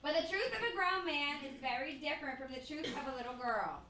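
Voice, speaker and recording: An actress playing a polite, earnest secretary, proper and deferential yet gently persistent, in a stage radio play. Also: female voice, high-pitched, lively intonation, brisk. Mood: earnest, gentle. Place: playhouse stage. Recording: echoey hall, distant mic, faint noise.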